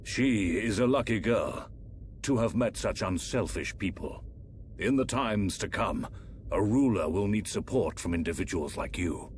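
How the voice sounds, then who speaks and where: An actor playing a grave, aging emperor in a fantasy video game, speaking in a formal, measured way. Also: male voice, fairly low pitch, animated, a little mellow, slight background noise. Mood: grave gratitude. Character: solemn aging emperor, stately fantasy ruler and father. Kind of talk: dramatic video game voice acting.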